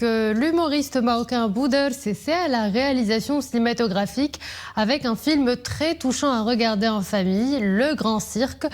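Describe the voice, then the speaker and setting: Woman reading the evening news. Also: on the high side. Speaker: news anchor.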